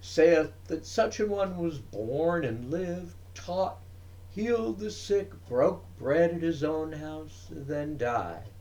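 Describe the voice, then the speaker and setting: A man's voice: a little high, a little mellow, pitched above the performer's own voice, exaggerated voice, poor audio. A man voicing a learned, troubled ancient physician in a dramatic poem, speaking in a formal, pensive, emphatic manner. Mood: awed.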